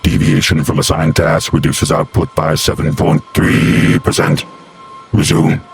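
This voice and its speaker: A man's voice: very deep voice, huge pitch swings, unhurried, faint music behind, heavily acted. A man voicing a cold, towering steam-age factory automaton in a gothic audio drama, giving clipped, emotionless commands. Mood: cold, commanding.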